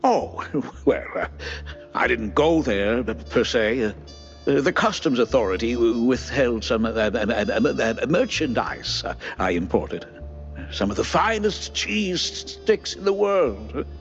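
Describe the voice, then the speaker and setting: Nervous stammering schemer for a cartoon, gravelly, animated, halting and evasive. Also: male voice, medium pitch, lo-fi sound, faint music behind. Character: nervous, shifty male schemer, bumbling and evasive. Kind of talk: cartoon game acting.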